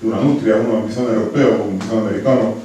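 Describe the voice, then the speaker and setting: Ponente estonio con acento marcado, titubeante y cercano; sala resonante, micrófono lejano con leve ruido. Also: male voice, lowish voice, steady tone, fast, dark tone. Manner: hesitant, restarts words, friendly asides. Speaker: conservation researcher giving a talk. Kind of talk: conference presentation. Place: lecture hall. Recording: very echoey hall from far off, faint noise.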